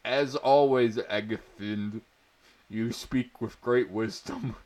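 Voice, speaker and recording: A man's voice: medium pitch, slow pace, darkish tone, lightly acted. A man voicing a haughty, pompous fat prince in a fantasy story, greasy and cruel, with a declaiming manner. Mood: pompous, commanding.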